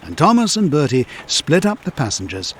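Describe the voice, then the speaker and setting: A man narrating a children's storybook in an expressive, measured way. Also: on the high side, a little hiss or hum. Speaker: audiobook narrator.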